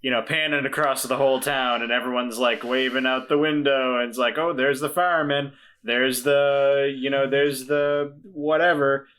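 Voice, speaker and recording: A man with a bright, crisp-edged voice at a medium pitch talks as a player in a tabletop game. His delivery is casual and hesitant as he pieces ideas together aloud. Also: steady tone, moderate pace, few pauses. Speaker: player in an actual-play podcast.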